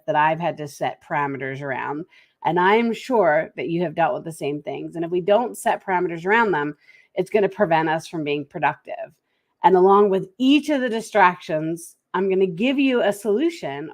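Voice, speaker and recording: A woman with a head cold hosting a solo talk show and giving practical tips in a relaxed, conversational way. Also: lowish voice. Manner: conversational, lively, speeds up and slows down. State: head cold, slightly congested. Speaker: podcast host.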